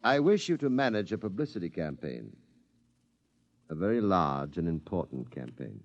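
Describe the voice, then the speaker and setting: An actor playing a composed Martian client in a 1950s radio drama, speaking with precise, formal, deliberate diction. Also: male voice, low voice, big pitch swings, no room sound. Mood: businesslike. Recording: muffled vintage radio recording.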